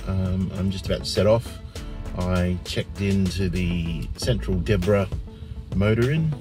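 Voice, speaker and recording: A middle-aged man talking hesitantly and casually to camera for a road trip vlog, filmed at arm's length in a car or outdoors. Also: sits low. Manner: hesitant, halting, thinks aloud. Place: parked car interior and outdoor roadside charging stops. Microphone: phone or camera at arm's length.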